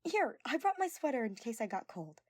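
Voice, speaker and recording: Woman doing eager teen girl for a fan audio story, gushy n earnest, high bouncy voice, real dry sound. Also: sing-song, fairly quick, a little mellow, no echo. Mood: eager, caring. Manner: earnest, gushing, stammers when flustered. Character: energetic, devoted, flustered teenage girl, eager friend.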